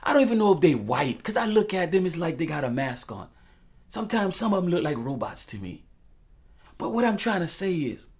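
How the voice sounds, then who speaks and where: Male talk show host, slightly high-set voice, freestyle preacher style, emphatic, repetitive, profane street slang. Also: darkish tone. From United States. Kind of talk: impassioned freestyle monologue with street slang and rhyming phrases.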